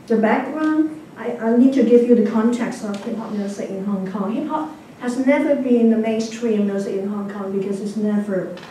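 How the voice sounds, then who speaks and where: A woman's voice: medium pitch, dark tone. Middle aged woman, cantonese first language, chatty lecture full of "okay?", distant mic, hall echo and faint hiss. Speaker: guest lecturer. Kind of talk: academic lecture. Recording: very echoey hall from far off, faint noise.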